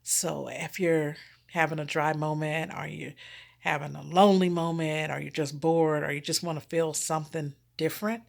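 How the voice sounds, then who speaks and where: Lower-voiced woman, candid and emphatic. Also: normal speed, creaky. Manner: expressive and emphatic, repeats key words for effect. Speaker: erotic romance author promoting their own book. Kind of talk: candid informal monologue to audience.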